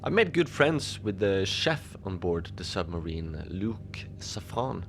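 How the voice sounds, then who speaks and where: Guy playing lonely station manager on a cozy podcast, lowish creaky voice, rambles, noisy background. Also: big pitch swings, takes it slowly, full character voice. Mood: admiring storytelling. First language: Norwegian. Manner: hesitant, rambling, chatty, apologetic. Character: lonely, gentle, rambling Antarctic research station facility manager. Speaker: podcast narrator. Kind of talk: cozy fiction podcast monologue, radio transmission.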